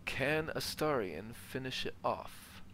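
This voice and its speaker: A man's voice: mid-range voice, animated, slow, a bit bright, a little hiss or hum. A man giving casual gameplay commentary, thinking aloud as he plays through a video game. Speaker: gamer narrating own playthrough. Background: game ambience.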